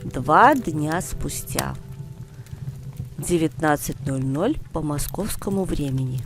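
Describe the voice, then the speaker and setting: A woman reading a story as an audiobook narrator, with expressive scripted delivery. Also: low-pitched, slow. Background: music behind the voice.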